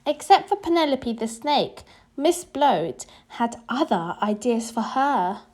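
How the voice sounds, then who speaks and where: Animated, slow-paced woman reading a storybook. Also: a little high. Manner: deliberate, animated storytelling for young children. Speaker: children's story reader. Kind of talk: expressive scripted storybook read-aloud.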